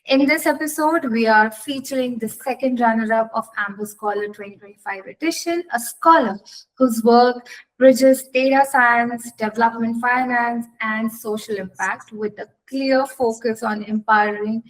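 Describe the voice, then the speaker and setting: A woman hosting a formal interview, reading prepared introductions and questions in a measured way. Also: a little high, rough recording. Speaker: interview host.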